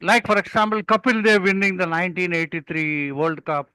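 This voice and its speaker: A man's voice: high-pitched, brisk, sharp tone, clean tone, dry recording, low-quality recording. An older man with an Indian accent, speaking as a mentor in an interview and telling anecdotes in an emphatic storytelling way. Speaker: public speaking mentor and guest.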